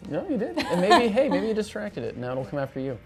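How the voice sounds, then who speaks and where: A woman's voice: mid-range voice, big pitch swings, fast talker, darkish tone, faint music behind. A woman chatting casually as a player in a tabletop role-playing game.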